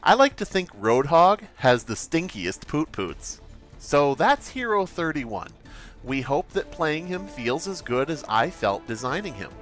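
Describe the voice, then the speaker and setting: A man's voice: fairly high pitch, very animated, measured pace, a bit bright, faint music behind. A man playing an earnest, creepily awkward game developer who hesitates sheepishly through a parody update presentation.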